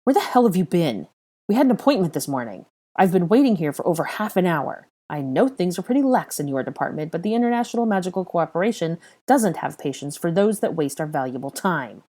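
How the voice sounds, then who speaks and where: A woman's voice: fairly low pitch, sing-song, a little fast, hardly any pauses, darkish tone, voice pushed up. A woman voicing a cold, sneering young male wizard official who barks with contempt. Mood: contemptuous. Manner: barking, contemptuous.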